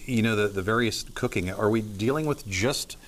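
A man's voice: on the low side, normal speed, fairly bright tone, a little hiss or hum. Wisecracking male TV co-host. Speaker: TV morning show co-host. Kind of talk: joking host banter. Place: TV studio kitchen set. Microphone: lapel mic.

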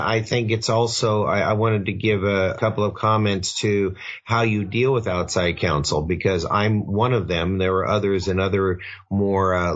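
A man who is a lawyer co-hosting, asking long, measured, winding questions. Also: low voice, muffled recording. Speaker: lawyer, co-host. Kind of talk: interview questions.

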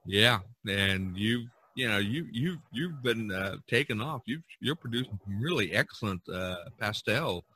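A man hosting a casual podcast over a video call, talking in a rambling, animated way. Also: on the low side, a little slow, hardly any pauses, slight background noise. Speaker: podcast host. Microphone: video call microphone.

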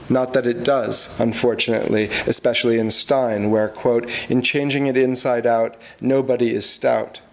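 A middle-aged man gives a live poetry reading in a medium-pitched voice. He reads from the page into a podium microphone in a lecture hall. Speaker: poet, faculty reader. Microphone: gooseneck podium microphone.

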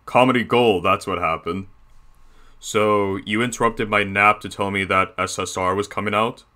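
A man doing a bossy, bright, low animatronic bear. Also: steady tone, normal speed. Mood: smug, dismissive. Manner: bossy, crude, bragging. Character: bossy crude male animatronic bear, self-satisfied boss. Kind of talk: character reaction commentary.

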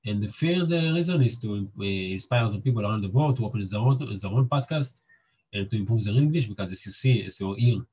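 A young man with a strong Israeli accent, hosting a book club and reading aloud with halting restarts and fillers. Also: fairly low pitch, lively intonation, darkish tone, slightly rough, lo-fi sound. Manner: halting, with many fillers and restarts, repeating words.

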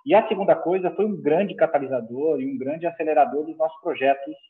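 A middle-aged Brazilian man answering conversationally as a panel guest, halting and repeating words, on a headset video call. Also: a little high. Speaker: business executive, panel guest. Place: indoor room. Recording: tinny, narrow video-call line.

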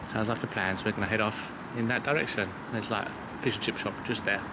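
Male vlogger, low pitch, casual playful chat, recorded outside with clear background noise. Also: steady tone, quick pace. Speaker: vlog host. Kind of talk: casual vlog narration. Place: outdoors by a river and boat. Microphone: handheld camera, operator behind it.

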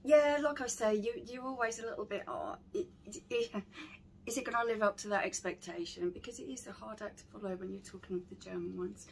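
A middle-aged woman talks casually through a review. Her voice has an average female pitch. Noticeable background noise surrounds her in a small bar setting. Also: a little fast, poor audio. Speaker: beer reviewer. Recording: clearly audible background noise.

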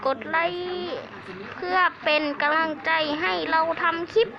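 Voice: very high-pitched, flat delivery, measured pace, lo-fi sound, crowd noise. A young-sounding video host giving playful Minecraft play-along commentary, chattering and humming to themselves in a sing-song way. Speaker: gaming channel host.

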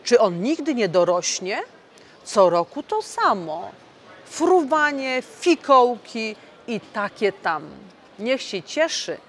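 A woman voicing the oldest Christmas bauble in a storybook, a kind, motherly figure who speaks gently and slowly. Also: fairly high pitch, sing-song, pitched above the performer's own voice. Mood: fond.

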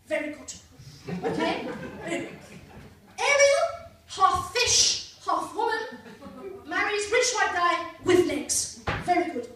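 A woman's voice: very high voice, pushed and bright, a little hiss or hum. A young woman doing a stand-up comedy set on a small club stage, chatty and teasing the crowd, on a handheld mic filmed from the back of the room. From Britain. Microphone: handheld mic filmed by a far camera. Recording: echoey club from far off.

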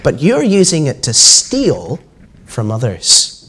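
A firm, assured teacher from a children's storybook, voiced by a man. He speaks with measured emphasis and a very lively pitch range. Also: husky, heavily acted. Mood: firm, indignant.

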